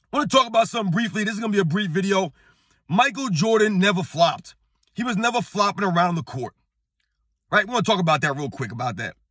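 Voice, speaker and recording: A middle-aged man hosting his own sports channel, talking straight to the viewers in a hoarse, strained voice. He talks emphatically and keeps circling back to his point. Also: sits high, sharp tone, no echo, clean studio sound. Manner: emphatic, repetitive, addresses viewers as guys. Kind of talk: casual talking-head rant. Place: on vacation at a beach.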